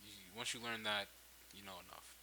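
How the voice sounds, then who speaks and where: A man hosts an informal software walkthrough in a slightly low, very sharp, bright voice. He talks off the cuff, often repeating and restarting phrases. A light hiss sits under the recording. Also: brisk, low-quality recording. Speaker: tutorial host. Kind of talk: casual software tutorial, off the cuff. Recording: hissy home microphone recording. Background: steady hiss.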